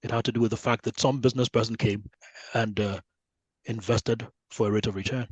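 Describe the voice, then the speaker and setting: Nigerian guy being interviewed, explains stuff conversationally, husky voice, really dry close sound. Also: lowish voice, steady tone, brisk, dry recording, dull audio. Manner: conversational, often checks with "right?" and "you know". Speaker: guest researcher. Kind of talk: podcast interview, explaining with analogies.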